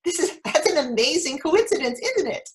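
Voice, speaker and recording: A woman playing an anxious, overeager mother of a teenager in an animated theatrical monologue, breaking into quoted dialogue. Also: sits high, normal speed. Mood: desperately cheerful. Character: anxious, overeager mother of a teenage son, telling her story. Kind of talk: theatrical monologue, staged reading.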